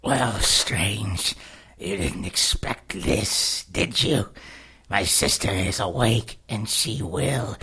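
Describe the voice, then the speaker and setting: An actor playing a wounded, vengeful villain in an audio drama, speaking in a raspy, menacing, halting way. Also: male voice, on the low side, pushed and bright, full character voice. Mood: gloating, menacing. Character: wounded, vengeful villain brother urging his sister to kill.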